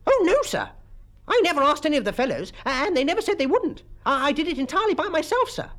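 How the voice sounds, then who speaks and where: Squeaky, wheedling fat British schoolboy. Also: male voice, big pitch swings, fast, fairly bright tone, exaggerated voice. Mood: nervous, blustering. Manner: wheedling, blustering, stammering when cornered. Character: fat schoolboy, wheedling, boastful, dim and cowardly. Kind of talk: character voice in a storybook reading.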